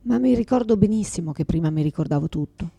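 A woman's voice: on the low side, expressive, quick pace, creaky, dark tone, husky, no echo, full character voice. An actress playing a confused, forgetful mother in a radio theatre monologue, exhausted, bitter and pleading, speaking in repetitive fragments. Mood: bitter, rambling. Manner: fragmented, repetitive, swearing, swinging from whispers to outbursts.

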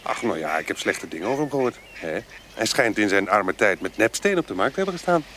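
A man's voice: fairly low pitch, very animated, fast, a bit bright. A man playing an ingratiating, hesitant schemer with flowery manners in a radio play. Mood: sly, evasive. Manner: wheedling, hesitant, flowery politeness. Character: smooth-talking male schemer, ingratiating old acquaintance, swindling petitioner. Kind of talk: Dutch radio play acting. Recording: noisy outdoor sound-effects ambience, horse clip-clop.

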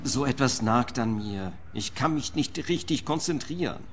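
An actor playing a fragile, fretful client in a German-language radio play, brittle, fussy and self-pitying. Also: male voice, mid-range voice, lively intonation, moderate pace, a bit bright, faint music behind, natural voice. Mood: peevish, self-pitying. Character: fragile, fretful male client, pill-dependent house owner.

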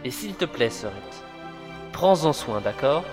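A man playing a gruff, dutiful police officer and put-upon younger brother in a period French audio drama, with a curt, commanding way of talking. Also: medium pitch, sing-song, unhurried, darkish tone. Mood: exasperated, stern. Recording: long reverb, music under the voice.

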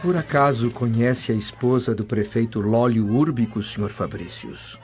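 An actor playing an insinuating, gossiping former servant of ancient Rome in a radio drama. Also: male voice, medium pitch, moderate pace, a little mellow, close to an everyday voice. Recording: dull lo-fi radio drama audio. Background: music.

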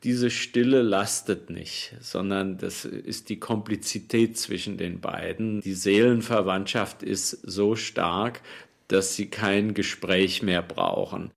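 A man giving reflective literary commentary, deliberate and pausing between phrases. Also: low-pitched, steady tone, gravelly.

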